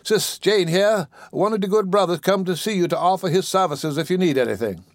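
A man acts out a stout, protective caretaker woman in a story reading. She talks in animated, folksy Southern dialect. The voice is lifted in pitch and sounds dry and close. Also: fairly quick, no echo, lightly acted. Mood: animated, helpful.

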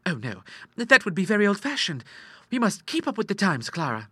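A man's voice: high voice, wildly swooping pitch, fairly quick, sharp tone, breathy edge, no room sound, voice pushed up, heavily acted. A man voicing a mischievous, bold young lady in an audiobook, with a gushing, teasing, girlish manner. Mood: playful. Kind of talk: audiobook character acting.

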